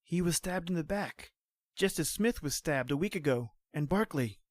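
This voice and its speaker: A cocky young police trooper played by a man in an old-time radio play. His voice sits slightly high, and he talks in brash, clipped, practical slang. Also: lively intonation, moderate pace, no room sound, studio quality, natural voice. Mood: urgent, firm.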